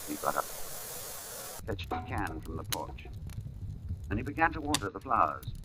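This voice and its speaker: An actor playing a solemn, puzzled narrator of an eerie tale in old-time radio style, measured and deliberate with dramatic pauses. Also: male voice, low-pitched, sing-song. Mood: grave, uneasy. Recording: hissy, noisy old radio recording.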